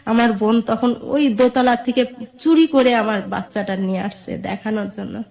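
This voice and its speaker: High-voiced Bangladeshi woman, muffled recording. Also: moderate pace, vocal fry, a little mellow. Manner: recounts events with quoted dialogue. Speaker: guest telling own life story. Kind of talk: personal life-story narration in an interview. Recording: dull lo-fi sound.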